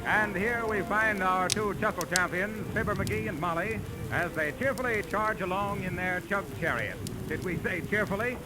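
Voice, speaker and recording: A man's voice: high-pitched, normal speed, poor audio. A man announcing a 1930s radio show in a polished, theatrical, scripted style. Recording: vintage 1930s radio recording with loud music. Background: loud orchestra music.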